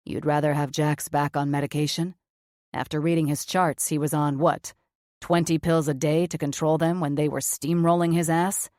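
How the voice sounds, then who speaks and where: A woman voicing a blunt, cocky male surgeon who swears freely and speaks in a flat, matter-of-fact way. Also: sits low, normal speed, no echo, studio quality, pitched below the performer's own voice, lightly acted. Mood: challenging.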